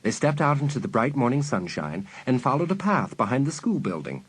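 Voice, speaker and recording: A man's voice: medium pitch, very animated, fairly quick, breathy edge. A man narrating a dramatised audiobook as a scripted storyteller.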